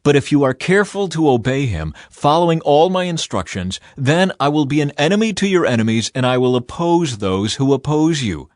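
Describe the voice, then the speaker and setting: A man's voice: medium pitch, dry recording. Dry-sounding male scripture narrator. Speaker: audiobook Bible reader.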